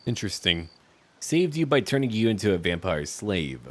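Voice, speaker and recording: A man's voice: lowish voice, big pitch swings, moderate pace. A man giving casual, musing commentary as he plays a role-playing game and speaks dialogue choices aloud.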